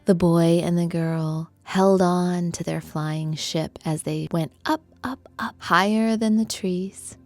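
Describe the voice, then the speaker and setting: A woman narrating a children's bedtime story as a guided meditation, in a gentle, soothing, hushed manner. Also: lowish voice, fairly even intonation, slow, few pauses, dry recording, soft background music.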